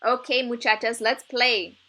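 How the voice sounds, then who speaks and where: A woman's voice: on the high side, fairly even intonation, measured pace, pushed and bright, pitched above the performer's own voice. A woman voicing a friendly but cautious man who runs youth soccer games, with an emphatic delivery, in a children's storybook. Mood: hearty. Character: Mister Ramos, friendly but cautious male soccer organizer.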